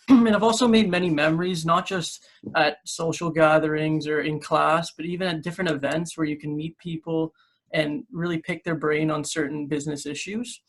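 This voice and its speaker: A young man, a student candidate, giving a steady, earnest debate answer. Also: a little high, low-quality recording. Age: young adult.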